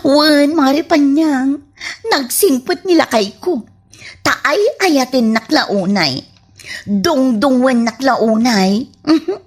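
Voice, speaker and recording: A woman playing a doting, spoiled, clingy wife in an Ilocano comedy radio drama, cooing in a drawn-out way with an Ilocano Filipino accent. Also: high voice, breathy edge, heavily acted.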